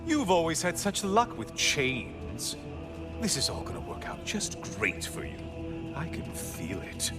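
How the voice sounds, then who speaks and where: A man's voice: on the high side, wildly swooping pitch, takes it slowly, heavily acted. An actor playing a sardonic male sun god, a severed talking head, in a video game, speaking with mocking, theatrical sarcasm. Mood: taunting. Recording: game audio with loud music behind.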